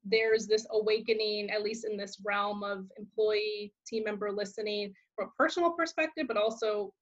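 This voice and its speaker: A woman's voice: medium pitch, normal speed. A woman hosting a conversation, speaking thoughtfully and a little hesitantly, often restarting her sentences. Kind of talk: conversational interview. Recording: dull lo-fi sound.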